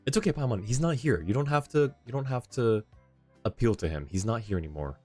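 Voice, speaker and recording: A man's voice: mid-range voice, lively intonation, a little fast, a little mellow, soft background music. A man livestreaming a video game, reading along and adding casual, dry, joking asides into a close mic.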